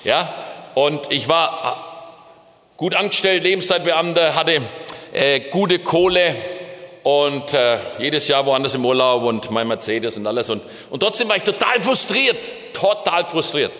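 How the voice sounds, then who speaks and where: A middle-aged man telling his life story as a missionary preacher, emphatic and animated, with his voice rising and dropping as he recounts it. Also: a little slow. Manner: emphatic storytelling with swings in pitch and pace. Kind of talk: sermon testimony. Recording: long reverb of a large hall.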